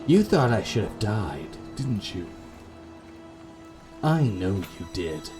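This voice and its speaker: A man voicing a wronged gothic woman, a tender yet bitterly accusing lover, in a slow, dramatic, intense reading for a horror game. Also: fairly low pitch, sing-song, music in the background. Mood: wistful, accusing.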